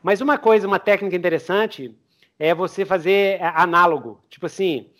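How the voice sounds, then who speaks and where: Higher-pitched Brazilian man hosting a podcast. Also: a bit bright. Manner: halting, repeats words while explaining. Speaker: podcast host. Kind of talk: casual interview chat.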